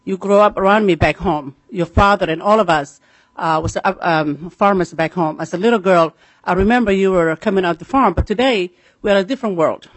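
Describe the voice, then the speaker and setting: A middle-aged woman with a Somali accent giving earnest, halting public testimony, repeating phrases for emphasis. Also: lowish voice, dry recording, rough recording. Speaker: farmer testifying at a legislative committee.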